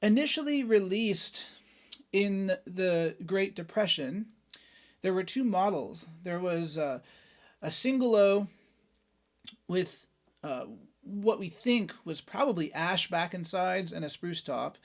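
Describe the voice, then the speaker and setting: A man's voice: high-pitched, expressive, takes it slowly. A man hosting a guitar show, talking casually and enthusiastically in a chatty, informal way about gear. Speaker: host of a guitar review show. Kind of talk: casual gear talk and demonstration.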